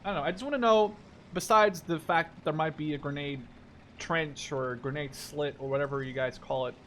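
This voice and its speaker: A young man in his twenties with a slightly high voice talks through a video game. He rambles casually, thinking aloud as he goes and swearing offhandedly. There is some faint noise behind his voice. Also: fairly quick, fairly bright tone. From Netherlands. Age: 20s. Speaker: gaming YouTuber. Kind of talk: casual let's-play commentary.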